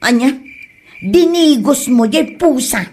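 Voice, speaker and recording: A woman's voice: sits high, lively intonation, slow, a little mellow, exaggerated voice. A woman acting a demanding, nagging village wife with an Ilocano Filipino accent, bossy and complaining, in a comedy drama.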